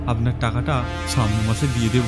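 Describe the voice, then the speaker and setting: Humble, anxious peasant father for horror cartoon, acted by a man: mid-pitched, deferential, stammering, under clear music. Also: steady tone, quick pace, lightly acted. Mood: humble, nervous. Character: humble, pleading poor farmer, anxious father. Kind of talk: animated horror cartoon dialogue.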